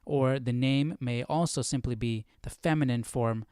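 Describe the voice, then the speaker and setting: A man's voice: mid-range voice, normal speed, a little mellow, smooth, dry recording, clean studio sound. A man hosting a Bible study stream, reading aloud and musing in an informal, questioning way. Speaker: host of Bible study livestream.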